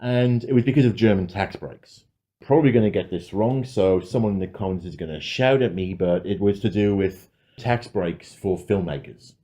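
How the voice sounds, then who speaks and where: A man's voice: sits low, normal speed, mellow. A man co-hosting a podcast, chatting casually about films with a sardonic, sweary manner. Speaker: podcast co-host. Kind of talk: casual film discussion, sweary.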